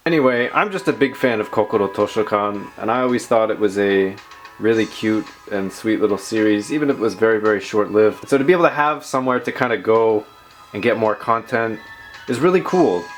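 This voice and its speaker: A man's voice: lowish voice, a little fast, soft background music. A man hosting a casual tech show-and-tell, talking informally in rambling asides. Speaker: tech video host.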